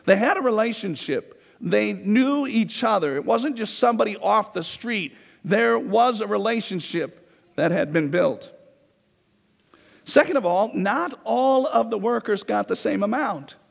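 A man in his forties preaching a sermon in a conversational way, his voice going hoarse as he paces the stage of a large church. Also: high-pitched, animated, unhurried. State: losing his voice. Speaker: pastor. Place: large church sanctuary, indoors. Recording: long reverb of a church hall.

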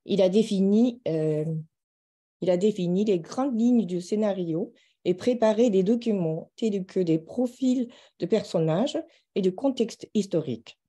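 Very soft, dark-toned woman introducing. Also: mid-range voice, normal speed. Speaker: seminar moderator introducing a speaker. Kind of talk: formal scripted introduction. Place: indoors on a video call.

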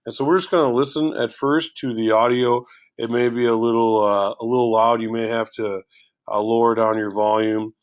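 A man with a low voice teaching a recorded lesson through a shared screen, casual and full of filler words. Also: steady tone, normal speed.

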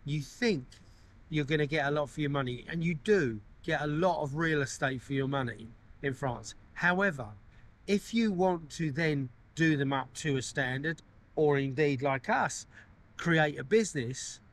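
Male vlogger, 50s, slightly higher-pitched voice, casual to-camera chat about his projects. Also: normal speed. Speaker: renovation vlogger.

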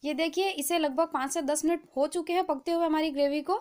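A woman hosting a cooking channel, giving a calm, patient, step-by-step recipe voice-over. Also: high-pitched, brisk. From India.

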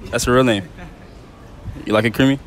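A man's voice: mid-range voice, fairly even intonation, fairly quick. A young man doing casual street interviews outdoors, teasing and repeating answers back. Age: young adult. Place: outdoors on a university campus.

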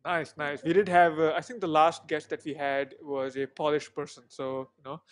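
A man's voice: on the high side, level tone, moderate pace, clear, resonant tone. A young man hosting a podcast over a video call, chatty and full of "you know" fillers, on a headset mic. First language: not English. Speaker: podcast host. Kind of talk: casual interview chat. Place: small room at home on a video call. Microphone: headset microphone.

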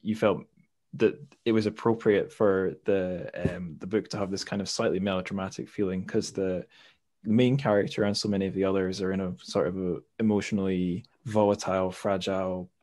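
A man hosting a podcast interview, relaxed and thinking aloud as he frames his questions. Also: low voice, moderate pace. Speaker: podcast host. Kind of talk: casual interview.

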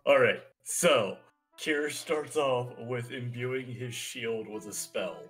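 A man's voice: medium pitch, takes it slowly, fairly bright tone, soft background music. Joking, sweary man playing a tabletop game. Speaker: player in a tabletop roleplaying game. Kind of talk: casual chat while playing.

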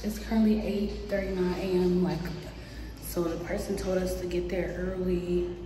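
Chatty young woman vlogging, slightly hoarse. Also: lowish voice, flat delivery, normal speed, music in the background. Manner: chatty, slangy, talking straight to viewers. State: recovering hoarse voice. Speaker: travel vlogger. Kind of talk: casual vlog talk to camera.

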